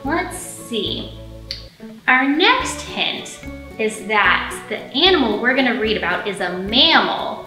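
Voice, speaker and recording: A woman in her thirties leading a storytime for young children, speaking in simple, expressive phrases with plenty of questions. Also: a little high, slow. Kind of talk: storytime teaching, singing and picture-book reading for young children. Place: indoor library storytime room. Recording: roomy echo, faint music.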